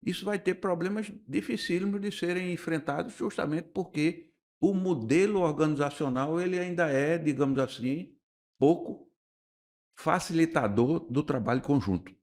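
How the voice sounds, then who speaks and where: An older man from Recife with a Pernambuco accent, a guest architect and urban planner, explaining at length in a radio interview with frequent pauses and restarts. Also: on the high side, darkish tone. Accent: Pernambuco Portuguese. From Recife, Brazil. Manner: measured, explanatory, hesitant with restarts.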